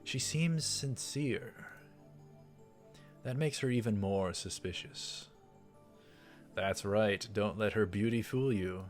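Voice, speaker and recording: A man's voice: fairly low pitch, slow, long pauses, soft background music. A man voicing a paranoid, grandiose mad scientist from a visual novel, in a dramatic, suspicious manner. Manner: dramatic, suspicious inner monologue.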